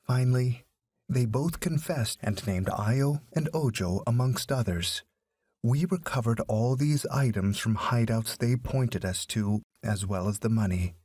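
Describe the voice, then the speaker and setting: A man's voice: medium pitch, measured pace, darkish tone, slightly rough, no echo. Man reading a stern male sports teacher. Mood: stern explaining. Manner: formal, matter-of-fact.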